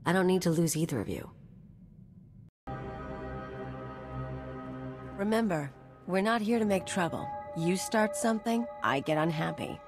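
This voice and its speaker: A tough, cynical female mercenary from a video game, with a slightly low voice. She talks bluntly and wryly like someone streetwise who looks out for herself, with music clearly behind her. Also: lively intonation, a little fast. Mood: guarded, businesslike.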